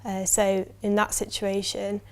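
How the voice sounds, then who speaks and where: A young woman, speaking in a level, matter-of-fact way. Her pitch stays flat and even, in a middle range. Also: measured pace. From Yorkshire, England. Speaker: university student, interviewee. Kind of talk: answering interview questions.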